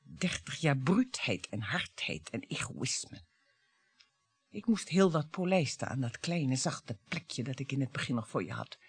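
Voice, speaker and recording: A woman's voice: a bass voice, big pitch swings, measured pace, slightly rough, dry recording, exaggerated voice. An actress playing a bitter, mocking wife in her fifties in a radio play, with a theatrical way of talking. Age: 50s. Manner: theatrical, mocking, swinging between coaxing and scorn. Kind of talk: radio drama acting.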